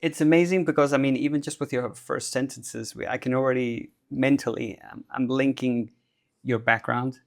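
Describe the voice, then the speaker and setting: A man's voice: medium pitch, fairly even intonation, moderate pace, creaky. A man working as an interview host, asking questions in a casual, conversational way.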